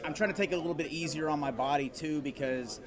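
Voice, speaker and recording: A man's voice: a little high, fast. A man in his thirties hosting a casual podcast interview at a busy convention, readily affirming his guest. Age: 30s. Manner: affirming, repeats agreement words. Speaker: podcast host. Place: crowded convention venue. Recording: busy convention crowd behind voice.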